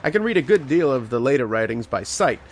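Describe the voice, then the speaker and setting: Gravelly, learned archaeologist, played by a man, noisy recording. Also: medium pitch, sing-song, fast. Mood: patient, explanatory. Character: learned, patient male archaeologist narrating an eerie tale. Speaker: lead actor and narrator. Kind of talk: old-time radio horror drama acting.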